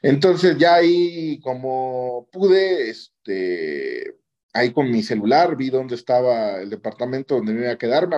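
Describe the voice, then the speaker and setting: The recording is slightly dull and lo-fi. A man with a Mexican accent speaks at an average pitch, recounting his experiences in a conversational way. He often closes his phrases with a short questioning tag. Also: a little mellow. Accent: Mexican Spanish. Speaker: interview guest, orthopedic surgeon. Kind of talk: conversational personal storytelling. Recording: dull lo-fi audio.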